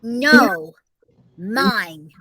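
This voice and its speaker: A woman's voice: fairly high pitch, animated, drawn-out words, bright, forceful tone, full character voice. A woman voicing a stubborn, demanding little boy in a children's storybook, speaking in short babyish bursts.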